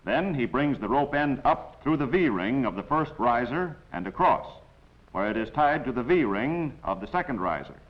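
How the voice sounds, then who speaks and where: A man reading scripted instructional narration in a measured way, as the narrator of a training film. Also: mid-range voice, lively intonation. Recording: dull, noisy old 16mm film soundtrack.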